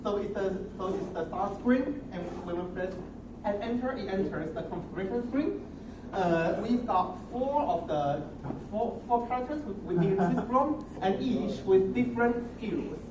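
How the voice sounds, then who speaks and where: Halting young man in a roomy, noisy recording. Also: high-pitched, animated. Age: young adult. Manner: halting, repeats and restarts words often. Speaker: student presenter. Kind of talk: impromptu project demonstration. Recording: roomy, distant mic with noticeable noise.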